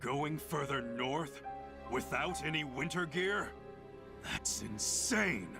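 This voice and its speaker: The wary, protesting commander in a war game briefing, gravelly voice rising and falling. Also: male voice, a little high, animated, takes it slowly, over music, heavily acted. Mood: alarmed. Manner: protesting, incredulous. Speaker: game voice actor. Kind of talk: dramatic game dialogue.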